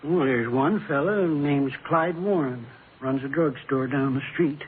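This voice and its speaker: An actor playing a bereaved, defensive husband in a vintage radio drama, hesitant and wounded in a plain-folks way. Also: male voice, medium pitch, unhurried, lightly acted. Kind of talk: 1950s radio drama acting. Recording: muffled, noisy old-time radio recording.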